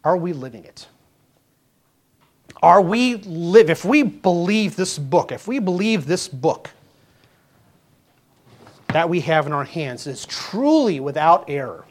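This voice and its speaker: A man of fifty, a pastor, preaching a sermon in an earnest, conversational way, full of rhetorical questions and small restarts. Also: fairly high pitch. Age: 50s.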